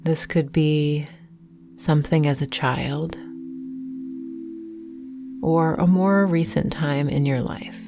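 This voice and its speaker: A woman guiding a meditation with affirmations in a soothing, gentle, unhurried manner, leaving long pauses. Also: sits low, fairly even intonation, gravelly, noisy background. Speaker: meditation guide. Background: soft meditation music with singing bowls.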